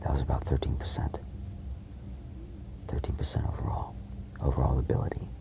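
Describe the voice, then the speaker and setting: A man giving a slow, earnest monologue, solemn and halting, repeating his phrases in a breathy, gravelly voice. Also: extremely low pitch, completely flat delivery, in a whisper, dull audio.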